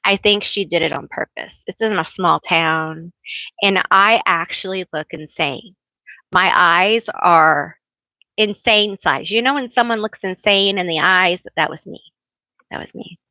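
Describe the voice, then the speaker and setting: A woman hosting a casual tarot live stream, chatty and teasing herself, laughing often and calling herself a loud yeller. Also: lowish voice, a little slow, no echo, muffled recording.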